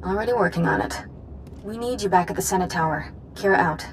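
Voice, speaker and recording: An actress playing a brisk, businesslike female operative in a video game, reporting with urgency. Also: on the low side, slight background noise, close to an everyday voice. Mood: urgent, brisk. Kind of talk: video game cutscene acting.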